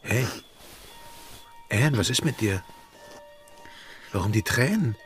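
An actor in a German radio drama playing an amorous pirate quartermaster, speaking intimately, tenderly and a little hesitantly. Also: male voice, fairly low pitch, lively intonation, takes it slowly. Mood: tender, reassuring. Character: Jack, amorous, easygoing pirate quartermaster and devoted lover. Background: music.

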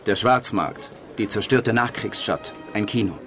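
Radio play narrator: dry, literary screenwriter, lowish voice, measured and detached, music underneath. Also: male voice, a bit bright. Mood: reflective. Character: male screenwriter narrating his postwar schemes, wry and detached. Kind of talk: scripted radio drama narration.

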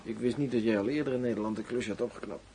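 An actor playing a matter-of-fact undercover detective in a Dutch radio drama, talking casually and tersely to a partner. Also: male voice, medium pitch, racing, warm tone, natural voice. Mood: relaxed, jaded. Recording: noisy radio play recording.

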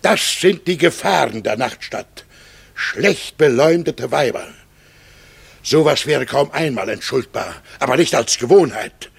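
A man's voice: mid-range voice, expressive, measured pace, full character voice. An actor playing an anxious, moralizing middle-aged man who mutters fretfully to himself in a German radio play from the 1960s. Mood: earnest warning. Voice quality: husky, gravelly.

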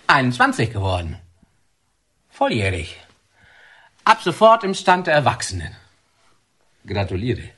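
A man's voice: mid-range voice, wildly swooping pitch, measured pace, pauses often, fairly bright tone, slightly rough, full character voice. An actor playing an avuncular, well-meaning male warder, chatty and musing, in a naturalistic radio drama. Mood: congratulating, musing. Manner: hesitant, musing, conversational.

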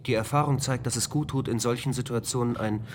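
Male ship's computer for radio drama , played by an actor: mid-pitched, formal, reassuring, faint ambient noise. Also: steady tone, fast talker, lightly acted. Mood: calm concern. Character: calm, formal male spaceship computer, politely solicitous. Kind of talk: radio play acting.

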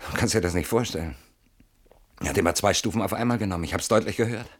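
An actor playing a frightened, suspicious man in a radio play, speaking in a hushed, agitated and insistent way. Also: low-pitched, fast talker. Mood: anxious, agitated. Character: frightened, suspicious man hiding from a stalker at night. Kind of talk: German radio play acting.

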